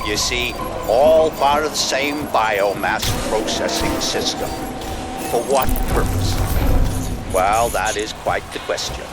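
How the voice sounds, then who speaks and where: An actor playing an urbane older mastermind in a video game, measured, erudite and sardonic as he guides the player. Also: fairly low pitch, loud music behind the voice. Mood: detached fascination. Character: urbane older male mastermind, wry and condescending. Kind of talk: guiding monologue in a video game.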